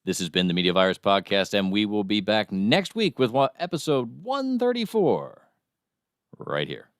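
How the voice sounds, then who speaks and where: A man's voice: on the low side, sing-song, moderate pace. A man co-hosting a comedy podcast, signing off in a playful announcer style. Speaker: podcast co-host. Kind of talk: casual comedy podcast chat.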